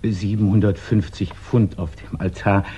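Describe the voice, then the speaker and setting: An actor playing a businesslike, discreet private detective in a 1960s German radio crime drama, speaking formally and deliberately with small hesitant pauses. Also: male voice, lowish voice, steady tone, dark tone, highs cut off, a little hiss or hum, close to an everyday voice.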